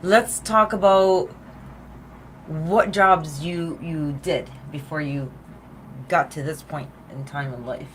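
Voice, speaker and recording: Woman host, casual chat, lowish voice, light background noise. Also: takes it slowly, low-quality recording. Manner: chatty, lots of fillers and laughs. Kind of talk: casual interview.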